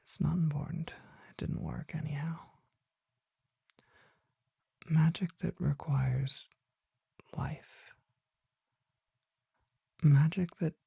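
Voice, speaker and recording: A performer voicing a mysterious, courtly vampire apothecary in a breathy, formal ASMR whisper, close to the microphone. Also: mid-range voice, dry recording, heavily acted. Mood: hushed, mysterious. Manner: slow, formal, deliberate, hushed.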